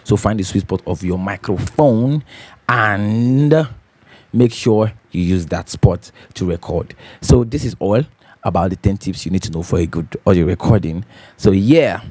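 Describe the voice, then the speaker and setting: A man with a deep, bassy voice hosting a home recording tutorial, talking casually and repeating his key points as he checks in with viewers. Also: warm tone, slightly rough, dry recording. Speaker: host of a home recording channel. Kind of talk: casual tutorial talk to camera. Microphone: studio condenser microphone.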